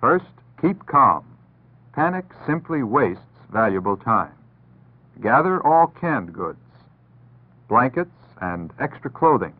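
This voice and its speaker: An American man reading scripted 1960s public service narration in a measured, earnest, instructive manner. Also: mid-range voice. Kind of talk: 1960s civil defense PSA scripted narration. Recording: tinny band-limited 1960s broadcast audio.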